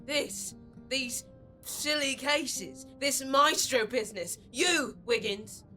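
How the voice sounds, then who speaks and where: Woman playing sharp, high-voiced indignant girl. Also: takes it slowly, faint music behind, exaggerated voice. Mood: regretful. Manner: accusing, forceful. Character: indignant, sharp-tongued girl of a street gang. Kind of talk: audio drama acting.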